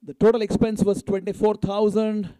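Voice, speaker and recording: A man's voice: sits high, level tone, moderate pace, warm tone, no room sound, poor audio. A man making live fundraising announcements to a community gathering, exhorting and prompting his listeners to respond. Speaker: event announcer and fundraiser at a temple. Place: spoken live to a gathering at a temple event.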